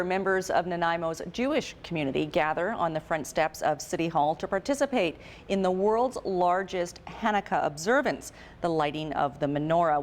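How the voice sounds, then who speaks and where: A woman's voice: lowish voice, slight background noise. A woman, hosting and interviewing for local television. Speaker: TV host and reporter.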